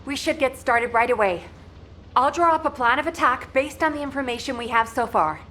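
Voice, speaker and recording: High-voiced, efficient operations officer. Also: female voice, brisk, a bit bright, reverberant, slight background noise. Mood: earnest. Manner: businesslike, earnest. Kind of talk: scripted game dialogue. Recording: slightly distant under music.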